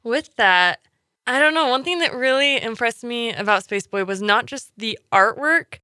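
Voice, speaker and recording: A woman's voice: fairly high pitch, animated, normal speed, bright, forceful tone, clean tone, no room sound, clean studio sound. A woman hosting a casual interview, chatty and appreciative as she responds to her guest. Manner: appreciative, chatty, rephrases thoughtfully. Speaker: podcast interviewer.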